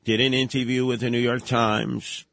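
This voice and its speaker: A man co-hosting a morning radio show, asking questions in a brisk, conversational way. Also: mid-range voice, level tone, creaky, fairly bright tone, no echo. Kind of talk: casual radio talk.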